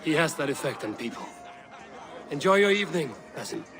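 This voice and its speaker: An actor playing a loyal, steady Viking warrior with a plain, dry manner in a game cutscene. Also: male voice, on the high side, fairly quick, over music. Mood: wry. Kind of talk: video game cutscene acting.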